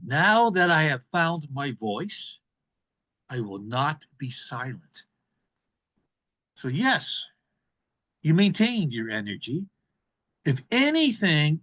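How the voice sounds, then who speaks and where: Slow, lively man giving a speech evaluation. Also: on the high side. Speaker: speech evaluator at a public speaking club.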